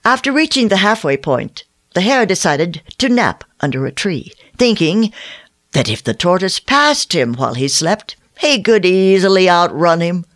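A storyteller narrating a children's fable from a script in an expressive way. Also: medium pitch, moderate pace.

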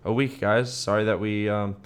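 Podcast co-host: young American male, lowish voice, informal, slang-heavy, casual banter. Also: steady tone, normal speed, clean tone. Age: young adult.

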